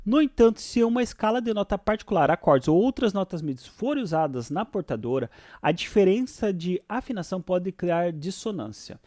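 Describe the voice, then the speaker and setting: A man with a Brazilian accent presenting a software tutorial, switching between read-out explanations and casual asides. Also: sits high, sing-song, moderate pace, no echo, studio quality.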